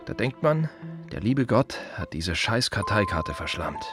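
An actor playing a blunt, brooding gravedigger in a radio drama monologue, speaking colloquially in short, repeated, emphatic phrases. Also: male voice, lowish voice, big pitch swings, unhurried, music in the background. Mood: bitter, angry. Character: blunt, brooding male gravedigger musing on life and death.

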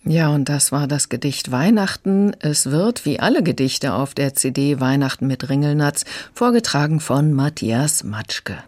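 A woman's voice: low-pitched, unhurried, few pauses, a little mellow. A woman giving a conversational review as a critic in a radio discussion.

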